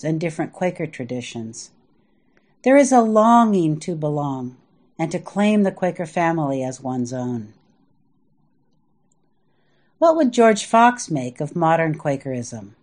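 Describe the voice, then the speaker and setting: An American woman from the Midwest reading her own reflective essay aloud in a measured, earnest manner. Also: lowish voice, darkish tone. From US Midwest (Indiana and Iowa). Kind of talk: reflective scripted narration.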